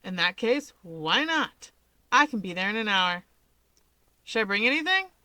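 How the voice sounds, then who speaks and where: A woman's voice: medium pitch, big pitch swings, normal speed, sharp tone, no room sound. A woman voicing a brash, blunt hero woman with a teasing, exasperated, sardonic manner in a story reading. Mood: agreeable.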